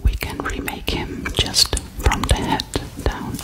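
A woman voicing a gentle, soft-spoken female alien girl in an ASMR roleplay, whispering slowly and reassuringly right into a close microphone in a small room. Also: lightly acted. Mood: soothing whisper. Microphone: studio microphone held very close.

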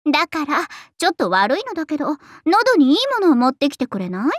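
Self-admiring young idol princess in an anime game: extremely high, lively, theatrical, playfully haughty. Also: female voice, fast talker, dry recording, clean studio sound. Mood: playful, musing. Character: vain, bubbly young princess idol singer, self-styled Cinderella. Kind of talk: Japanese anime mobile game voice acting.

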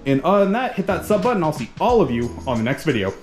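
A man's voice: fairly high pitch, sing-song, fast talker, a little mellow, faint music behind. Chatty man unboxing gym gear. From Canada. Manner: casual, chatty, with small restarts while handling gear. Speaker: fitness vlogger. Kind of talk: casual product unboxing. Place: home gym.

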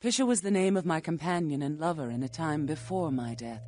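A woman's voice: low-pitched, lively intonation, fairly quick, mellow, no room sound, studio quality. A woman playing an ancient flesh-eating vampire in a video game, philosophical and coolly menacing, speaking in cryptic, formal questions. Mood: cool, matter-of-fact. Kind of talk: video game character acting.

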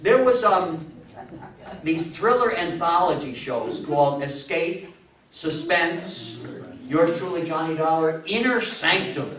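Older man in his 60s, lecturer, raised pitch, enthusiastic, exclamatory, recorded from a distance, audience noise. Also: lively intonation, slow pace, fairly bright tone. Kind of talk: animated informal talk to an audience. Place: indoor meeting room before a seated audience. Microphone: distant camera mic. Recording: echoey room, distant mic, audience noise.

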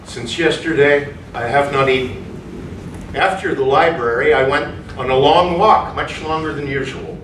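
Older guy acting troubled pious loner, grandiose stage delivery, bright forceful voice, roomy far-off recording. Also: on the high side, normal speed, slight background noise, natural voice. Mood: sorrowful. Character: troubled, pious, reclusive suspect, brooding and grandiose. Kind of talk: staged play reading, theatrical. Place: small indoor venue, before a seated audience. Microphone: far camera.

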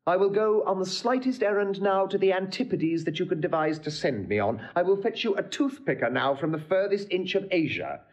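A proud, witty bachelor nobleman in a Shakespeare audio drama. His voice sits fairly high and swoops widely as he blusters theatrically. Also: muffled recording. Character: witty wounded-proud young bachelor lord, railing at a lady. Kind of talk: classical Shakespeare stage acting.

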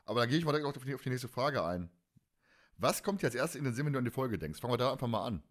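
A man's voice: mid-range voice, very animated, racing. A man in his forties hosting a podcast in a casual, colloquial and enthusiastic chat style. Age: 40s. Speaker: podcast host.

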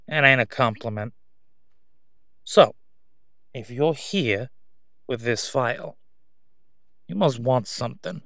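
A man's voice: mid-range voice, bright, forceful tone, no room sound, studio quality, heavily acted. Man playing a sly, sarcastic crime boss. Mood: condescending, sly. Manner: sarcastic, smooth-talking, drawn-out condescending delivery. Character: slick middle-aged male crime boss, mocking, sly, self-assured. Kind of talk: roleplay audio drama monologue.

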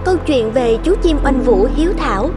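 Woman narrator, high voice, scripted storytelling, music underneath. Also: lively intonation, fairly quick. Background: music behind the voice.

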